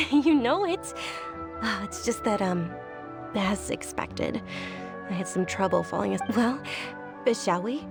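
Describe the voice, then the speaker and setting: A woman playing a gentle, polite young woman who explains things kindly in an anime-style video game. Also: mid-range voice, lively intonation, slow, creaky. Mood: warm, slightly bashful. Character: gentle, polite young woman, cheerful festival-loving friend. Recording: music behind the voice. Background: game music.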